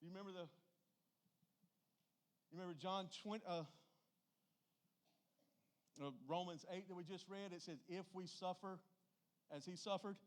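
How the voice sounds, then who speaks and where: Higher-pitched male preacher, emphatic and folksy. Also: steady tone, normal speed, pauses often. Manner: emphatic, folksy, rhetorical questions to listeners. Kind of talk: informal church sermon.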